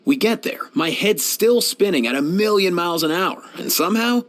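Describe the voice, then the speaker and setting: Easygoing young male game vet, kind and slangy, lightish pitch, very animated. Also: normal speed. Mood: relaxed, friendly. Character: laid-back, kindly young male veterinarian. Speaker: video game character voice actor. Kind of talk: game voice lines, conversational.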